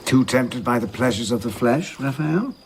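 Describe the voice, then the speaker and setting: An actor playing a jovial, sociable gentleman host in an audio drama, bantering and playfully teasing his guests. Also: medium pitch, animated, normal speed, a little hiss or hum, natural voice.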